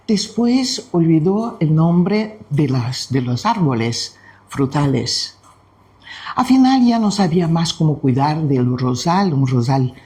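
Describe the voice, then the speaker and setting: Slow, gentle Brazilian man speaking Spanish. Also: on the high side. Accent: Brazilian Portuguese. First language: Portuguese. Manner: gentle, unhurried, with frequent pauses. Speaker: spiritist study presenter. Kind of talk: devotional talk with storytelling.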